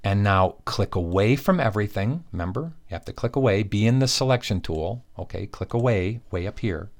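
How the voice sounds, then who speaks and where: A man giving a relaxed screen-recorded software tutorial, chatty and correcting his own slips as he goes. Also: fairly low pitch, brisk. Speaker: software instructor.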